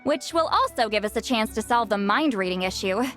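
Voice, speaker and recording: A haughty, prickly female shaman from a video game, ancient but young-sounding. Her voice is high and very lively in pitch, huffy in delivery, with faint music behind it. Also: a little fast. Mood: aloof, evasive. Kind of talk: video game character dialogue.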